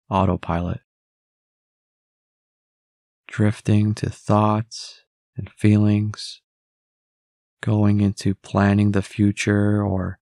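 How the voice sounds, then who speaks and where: A man leading a guided meditation in a calm, measured, instructional way. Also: on the low side, gravelly, dry recording, clean studio sound.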